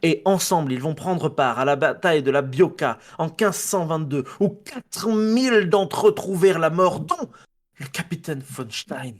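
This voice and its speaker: A man's voice: fairly high pitch, lively intonation, unhurried. A French man presenting a history segment on a casual podcast. He reads from his notes, stumbles over foreign names and jokes about his own slips.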